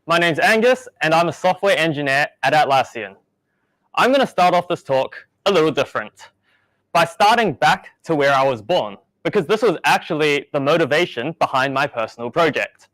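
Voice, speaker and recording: A young man raised in New Zealand giving a measured, explanatory tech talk at a meetup, with the odd dry joke. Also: on the high side, lively intonation, a bit bright, dry recording, poor audio. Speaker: meetup speaker.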